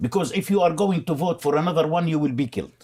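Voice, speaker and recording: A man's voice: a little high, animated, rapid-fire, mellow, slightly rough. A man with a Palestinian Arabic accent, whose first language is Arabic, speaking as an interview guest in an emphatic way and repeating his words. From Gaza. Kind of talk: conversational interview.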